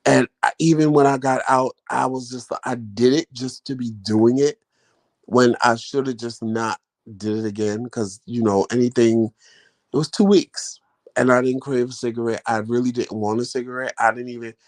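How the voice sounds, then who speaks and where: A man's voice: mid-range voice, steady tone, normal speed. A man hosting a vlog live stream, chatting casually with viewers in a rambling, folksy way. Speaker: vlog host answering live chat.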